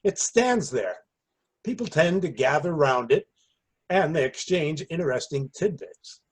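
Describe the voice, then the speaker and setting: Casting: male actor for earnest, sheepish amateur raconteur, slightly high husky voice, readers theater comedy. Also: expressive, measured pace. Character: hapless, earnest man rehearsing a half-baked party anecdote.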